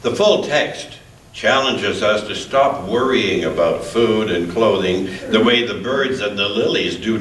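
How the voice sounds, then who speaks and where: A man reading scripture and short introductions at a church service, solemn and measured, with deliberate, emphatic pauses. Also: fairly low pitch, a bit bright. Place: indoors in a church. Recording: roomy church, distant mic, faint noise.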